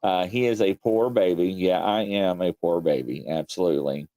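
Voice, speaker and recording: A man's voice: sits low, normal speed, dark tone. A man co-hosting a casual chat show, joking and teasing his co-host.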